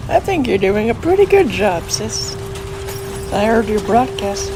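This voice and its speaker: A woman's voice: mid-range voice, sing-song, measured pace, background noise. A woman voicing a cool, protective pony sister in a cartoon fan dub, casual and matter-of-fact, with a wry edge. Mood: reassuring, serious.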